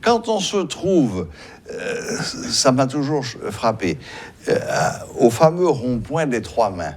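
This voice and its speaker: A man hosting a TV talk show, speaking hesitantly with many pauses. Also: medium pitch, big pitch swings, darkish tone. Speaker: host.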